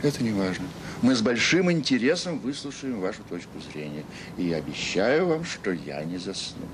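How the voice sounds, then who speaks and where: A man's voice: sing-song, moderate pace. A man acting in an audio drama as a self-assured, sarcastic education official with an oratorical, condescending manner. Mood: sarcastic, polite. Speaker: conference speaker. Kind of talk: radio drama acting, formal speech. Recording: noisy 1980s audio play with rumble.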